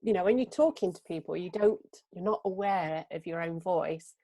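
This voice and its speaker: A woman's voice: on the low side, normal speed, warm tone, no room sound. A woman with an English Midlands accent, hosting a podcast and chatting through anecdotes. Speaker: podcast host. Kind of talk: casual podcast conversation.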